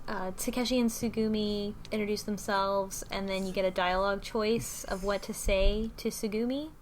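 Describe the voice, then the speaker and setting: A woman's voice: medium pitch, fairly even intonation, normal speed. A woman in an informal, conversational chat as a podcast panelist, recapping a story. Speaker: book club podcast panelist. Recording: music playing behind the talk. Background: music.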